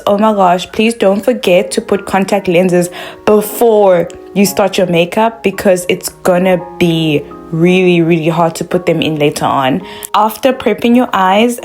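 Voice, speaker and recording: A young woman from South Africa chats through a makeup tutorial in a bubbly, friendly way, sprinkling "like" through her sentences. Her voice sits at an ordinary middle pitch for a woman, and faint music plays softly behind her. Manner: bubbly and chatty, lots of "like" fillers. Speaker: makeup vlogger.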